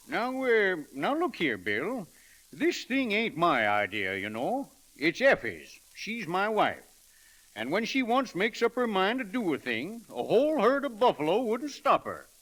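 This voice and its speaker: A henpecked, folksy husband with an American Western drawl in an old radio comedy. His high voice swings widely and cuts through brightly, blunt but friendly. The recording is old and crackly. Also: wildly swooping pitch, a little slow, exaggerated voice. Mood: sheepish, folksy. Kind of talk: 1940s radio comedy acting.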